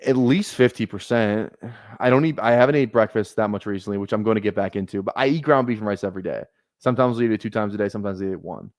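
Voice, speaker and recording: A man's voice: fairly low pitch, fast talker, a little mellow, no echo, clean studio sound. A man in his twenties chatting casually on a fantasy football livestream, rambling, swearing often and laughing at himself. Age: 20s. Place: small apartment room.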